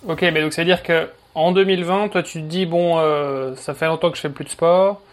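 A man's voice: fairly high pitch, fairly even intonation, fairly quick. A man hosting a podcast, asking long rambling questions in a casual tone. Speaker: podcast host.

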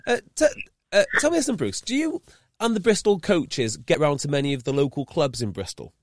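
A young man from North Wales hosting an interview, chatty and quick to stumble over his words, his pitch jumping up when he gets going. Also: no echo. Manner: chatty, stumbles and restarts, lively rises in pitch. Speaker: podcast host. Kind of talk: casual interview chat.

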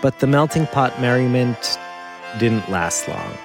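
A man narrating a scripted documentary as host, phrasing deliberately with dramatic pauses, close on the microphone. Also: mid-range voice, expressive, over music.

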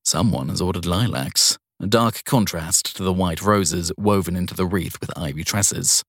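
A man reading a scripted first-person crime story in a measured storytelling manner, with dramatic pauses. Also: sits low, fairly bright tone, no echo, clean studio sound. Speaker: narrator of a short crime story.

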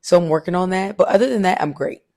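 Hoarse, gravelly-voiced podcast co-host. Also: male voice, fast, darkish tone. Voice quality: deep, scratchy voice. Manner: blunt and joking, swears freely. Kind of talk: casual chat.

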